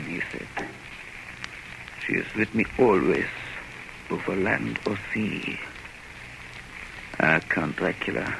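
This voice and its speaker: An actor playing a grave, determined vampire-hunting doctor, urgent and emphatic, in old radio drama style. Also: male voice, sits low, creaky, full character voice. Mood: brooding, intent. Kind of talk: 1930s radio drama acting. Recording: noisy vintage radio recording.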